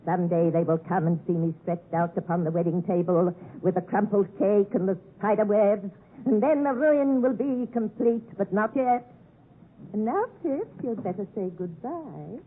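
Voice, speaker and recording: An actress playing an imperious, eccentric rich old spinster in theatrical, commanding 1950s radio drama style. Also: female voice, mid-range voice, normal speed, breathy edge, lightly acted. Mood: grandly mocking. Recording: muffled narrow-band 1950s radio recording.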